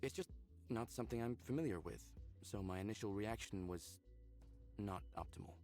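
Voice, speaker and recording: A man voicing a hesitant, apologetic, curious young man in a visual novel, halting and sheepish as he speaks. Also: fairly low pitch, gravelly, darkish tone, soft background music.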